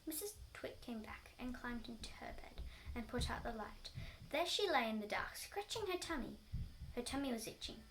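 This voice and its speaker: A woman reading a children's story aloud, somewhat halting and often going back over words. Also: on the high side, lively intonation, fairly bright tone, slight background noise, low-quality recording. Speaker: storybook reader.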